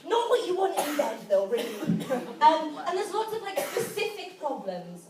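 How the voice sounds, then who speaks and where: A woman's voice: high-pitched, very animated, normal speed, crowd noise. A young woman with a Geordie accent delivers a stand-up comedy monologue in a self-deprecating, hesitant, conversational manner. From Newcastle area, North East England. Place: live indoor event with an audience. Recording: echoey room, distant mic, audience noise. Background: audience laughter.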